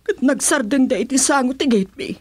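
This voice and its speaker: A woman's voice: high voice, fairly quick, a little mellow, husky. A woman playing a distraught older mother in a radio drama, emotional and exclamatory. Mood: anxious. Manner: emotional, exclamatory, tearful delivery. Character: distraught older mother fearing for her son. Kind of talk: Ilocano radio drama acting.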